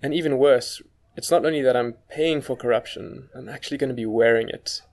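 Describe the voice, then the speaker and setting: A man's voice: medium pitch, fairly quick, darkish tone. A man with a South African accent giving scripted first-person podcast narration in a wry, conversational way. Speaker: guest podcast presenter.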